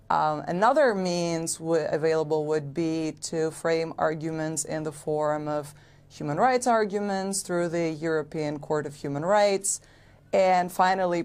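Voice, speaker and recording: A woman lecturer in international law, a panel guest, giving a measured, precise legal explanation with frequent ums. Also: sits low, darkish tone, smooth.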